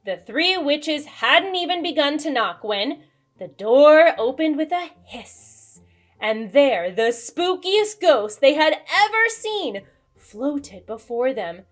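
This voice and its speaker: A woman narrating a children's storybook aloud in a dramatic, expressive, deliberate way. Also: high voice. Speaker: children's book reader. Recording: music behind the voice. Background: music.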